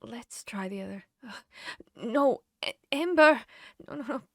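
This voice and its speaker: A woman's voice: a little high, expressive, a little slow, dry recording, studio quality, full character voice. A woman playing a protective, caring vampire hunter in an intimate fantasy audio roleplay, speaking directly to the listener. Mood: hushed, wary, then panicked, pleading. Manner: intimate, addressing the listener directly, shifting between hushed and urgent.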